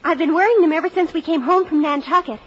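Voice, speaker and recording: Teen daughter role: high-pitched, headstrong, pleading and emotional, for a dull-sounding old radio play. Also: female voice, quick pace, a bit bright. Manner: earnest, emotional, insistent. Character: earnest, rebellious teenage daughter, eager and pleading. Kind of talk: old-time radio drama acting. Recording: muffled old radio broadcast.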